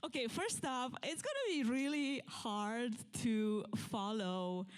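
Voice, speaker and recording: A woman's voice: a little high, expressive, slow, smooth. A middle-aged woman from Romania with a Romanian accent, giving a motivational conference talk in an earnest, conversational way. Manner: earnest, conversational, with fillers and restarts. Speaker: keynote speaker. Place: conference room with audience.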